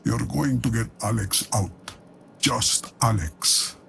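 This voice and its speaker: An actor playing an ailing, cunning old deposed patriarch in a game cutscene, grave and measured, with a gravelly voice. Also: male voice, medium pitch, fairly bright tone, a little hiss or hum, full character voice. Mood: grim, calculating. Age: older. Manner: grave, measured, deliberate pauses. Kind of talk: dramatic game cutscene monologue.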